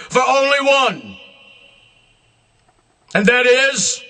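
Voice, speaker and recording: A man delivering a solemn, scripted religious address in a grandiose, emphatic manner, in deliberate short phrases. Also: extremely high pitch, sharp tone. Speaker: self-proclaimed religious leader.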